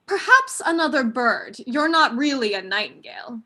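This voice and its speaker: A chatty young lady of the manor in a stage play, acted by a young woman. Her high voice swings animatedly, and she gossips breezily with a bright edge. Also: sing-song, moderate pace, heavily acted. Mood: chatty, playful. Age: young adult. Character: chatty, breezy young daughter of a country house.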